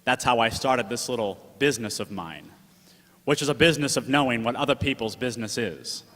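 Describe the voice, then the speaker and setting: An actor playing a wry, world-weary, streetwise gumshoe, narrating a noir radio drama in a deadpan way. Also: male voice, mid-range voice, a little fast, close to an everyday voice. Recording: hall with long reverb.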